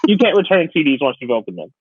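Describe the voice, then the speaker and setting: A man's voice: a little high, big pitch swings, quick pace, slightly rough, no room sound, lo-fi sound. A young man co-hosting a podcast in casual chat, often acting out little imagined exchanges as he talks. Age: young adult. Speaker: podcast co-host.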